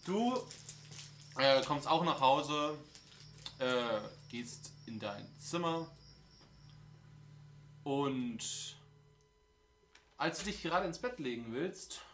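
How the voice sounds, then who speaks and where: A man's voice: mid-range voice, drawn-out words, long pauses, fairly bright tone. A man narrating a tabletop role-playing game to friends as game master. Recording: echoey room with background noise.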